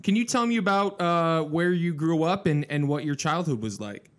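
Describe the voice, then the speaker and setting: A man's voice: fairly high pitch, moderate pace. A man hosting a podcast in a conversational style, appreciative and enthusiastic as he introduces and interviews a guest. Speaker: podcast host.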